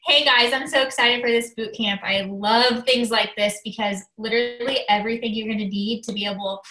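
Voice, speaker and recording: A woman's voice: a little high, steady tone, fairly quick, sharp tone, echoey room, low-quality recording. A woman coach with a cold, sounding slightly nasal, talking eagerly and chattily to a team. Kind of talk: casual training talk.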